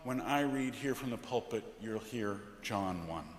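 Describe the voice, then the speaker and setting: A man, a pastor, speaking solemnly and deliberately from a church pulpit in a liturgical setting. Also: on the low side, lively intonation. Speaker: Lutheran pastor. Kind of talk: liturgical scripture reading. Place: large church interior, from the pulpit. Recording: echoey church with long reverb.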